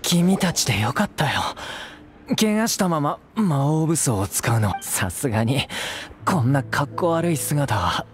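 Cocky young fighter in a game, unhurried, swoopy delivery, music behind. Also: male voice, fairly high pitch, sing-song. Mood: relieved, weary. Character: confident young warrior, protective, playful older brother. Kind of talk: Japanese video game voice acting.

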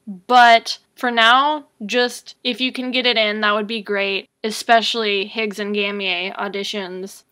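A woman's voice: fairly high pitch, sharp tone. A woman giving a casual, conversational update to her followers, speaking informally as a creator.

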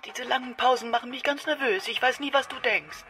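A nervous, needling mother of middle age in a radio drama. She chatters on in a fairly high voice. Music plays clearly behind her. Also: a little fast, pushed and bright. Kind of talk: German radio play acting.

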